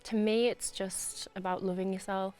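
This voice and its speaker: A woman's voice: mid-range voice, little pitch movement, moderate pace, darkish tone. A 27-year-old woman in a documentary interview, speaking in an earnest, upbeat way.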